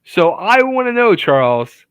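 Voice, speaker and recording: A man hosting a relaxed interview show, chatty and animated, often restarting his sentences. His voice is bright and swings widely in pitch. It sounds dry and close, with no room sound. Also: unhurried, clean tone, no echo. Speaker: podcast host. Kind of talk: casual interview chat.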